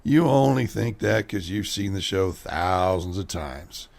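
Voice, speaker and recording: An actor playing a boastful, washed-up alcoholic stage magician in a radio mystery play, pompous and condescending. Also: male voice, deep voice, lively intonation, a little slow, vocal fry, darkish tone, exaggerated voice.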